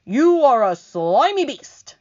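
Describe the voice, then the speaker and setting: A woman voicing a proud, boastful male centipede who shouts and shows off. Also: mid-range voice, huge pitch swings, measured pace, voice pushed up. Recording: dull lo-fi sound.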